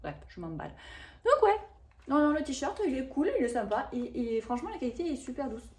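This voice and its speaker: A woman in her thirties chatting casually to camera about a shopping haul, rambling and expressive with lots of fillers. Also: high-pitched, brisk. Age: 30s. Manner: chatty and rambling, with frequent fillers and self-corrections. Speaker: vlogger reviewing a shopping haul.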